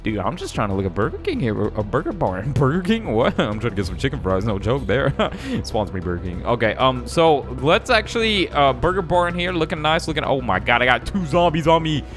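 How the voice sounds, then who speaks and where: A man's voice: medium pitch, sing-song, fairly quick. A young man giving jokey, slangy, casual gameplay commentary as the host of a gaming video. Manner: jokey, slangy, calls viewers "guys" and "dude". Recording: music behind the voice. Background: music.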